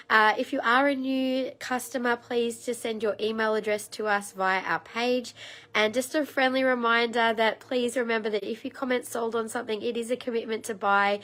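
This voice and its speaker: A woman in her thirties with a slightly croaky voice, chatting casually and rambling a little as she hosts a live crystal sale. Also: a little high, fairly bright tone. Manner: chatty and rambling, many ums. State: slightly croaky, frog in throat. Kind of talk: casual live-stream sales chat.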